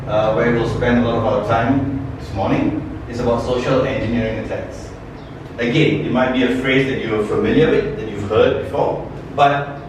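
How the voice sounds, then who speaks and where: A man in his forties from Malaysia, giving a cybersecurity training session in a conversational way, often correcting himself and asking rhetorical questions. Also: mid-range voice. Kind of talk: workshop presentation. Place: training room. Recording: echoey room, far from the mic, noticeable noise.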